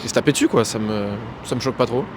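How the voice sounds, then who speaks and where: A young man speaks in a laid-back, offhand way with casual slang, standing on a noisy street. His voice is mid-range, and car traffic is loud around him. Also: mid-range voice, very fast. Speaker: passer-by interviewee. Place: busy city square outdoors.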